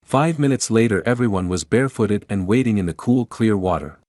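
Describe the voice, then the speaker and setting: A man's voice: lowish voice, lively intonation, fairly quick, a little mellow, no echo, clean studio sound. A man reading scripted storybook narration as the narrator.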